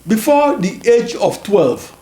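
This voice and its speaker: A Nigerian man in his sixties, whose first language is Igbo, gives a scripted lecture in a measured, deliberate way, sometimes correcting himself. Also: sits high, big pitch swings, mellow. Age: 60s. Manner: measured, deliberate delivery with occasional self-corrections. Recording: roomy echo with faint static.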